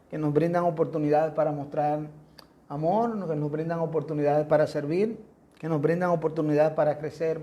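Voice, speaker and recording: A man's voice: on the high side, dark tone. A man, a pastor with a Dominican accent, preaching a sermon in an emphatic, repetitive cadence. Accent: Dominican Spanish. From Dominican Republic.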